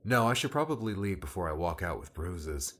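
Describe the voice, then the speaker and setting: A man plays a cheeky young man in an audiobook story. His voice is on the low side and moves about in lively swings. He sounds casual, smug and a little restless. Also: a little fast, heavily acted. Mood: casual, hurried. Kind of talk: dramatised audiobook character dialogue.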